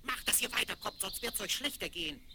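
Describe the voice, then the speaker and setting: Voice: lively intonation, a little fast, natural voice. Someone acting out a screeching, scolding little old dwarf. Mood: indignant scolding. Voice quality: screeching, shrill. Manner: scolding, indignant, quarrelsome. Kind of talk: 1970s children's fairy-tale radio play acting. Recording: crackly 1970s vinyl record.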